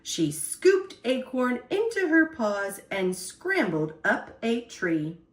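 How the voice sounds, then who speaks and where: High-voiced, animated woman reading a picture book. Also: roomy sound. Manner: expressive, deliberate phrasing. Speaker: storyteller. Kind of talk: children's picture-book read-aloud.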